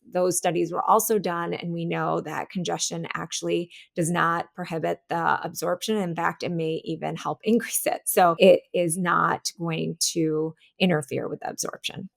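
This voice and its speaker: A woman's voice: fairly low pitch, gravelly. A woman hosting a conversational medical discussion, speaking as a physician to a lay audience. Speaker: podcast host, physician.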